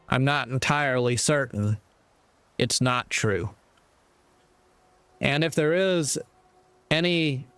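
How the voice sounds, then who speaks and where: A man delivering a reflective motivational monologue in a measured, earnest way, with deliberate pauses. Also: mid-range voice, clean tone. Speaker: speaker giving moral reflections.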